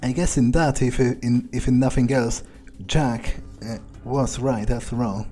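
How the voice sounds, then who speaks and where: A man's voice: medium pitch, steady tone, a little slow, mellow, faint music behind. A man giving in-character commentary on a game playthrough, musing casually and swearing freely as he goes. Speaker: gamer role-playing his character aloud.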